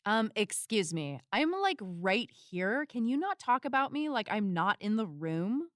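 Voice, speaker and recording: A woman's voice: mid-range voice, very animated, a little slow, a bit bright, no room sound, studio quality. A woman acting a defiant, wisecracking captive fugitive in an audio drama, casual and sarcastic.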